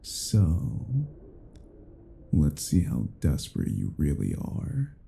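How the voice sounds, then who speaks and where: A man's voice: very deep voice, huge pitch swings, takes it slowly, vocal fry, warm tone, slight background noise, exaggerated voice. A man playing a cruel, domineering vampire in a roleplay audio drama, speaking in a menacing, condescending manner with formal, archaic phrasing. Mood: cold, haughty. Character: cruel, domineering male vampire toying with captive werewolf.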